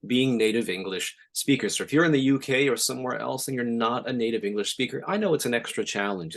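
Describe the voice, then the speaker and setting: A man with a Canadian accent and a touch of Eastern American, talking as a webinar trainer in a relaxed, self-deprecating way. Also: on the low side. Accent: Canadian, with some Eastern American. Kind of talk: casual talk on a video call. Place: home or office, on a video call.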